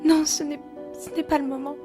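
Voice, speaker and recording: A very high, fragile young woman's voice performed by an actress, halting and breathy, guarding a secret in a period audio drama. Music swells underneath. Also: level tone, lightly acted. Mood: weak, faltering. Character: frail, secretive, unwed pregnant younger sister.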